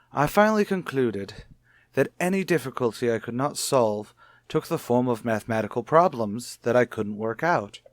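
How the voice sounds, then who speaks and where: An actor playing a reasonable, soothing husband in an old radio soap, speaking in a measured, explanatory way. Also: male voice, medium pitch, no echo, clean studio sound, lightly acted. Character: reasonable, soothing husband confiding in his anxious wife. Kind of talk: mid-century radio soap acting.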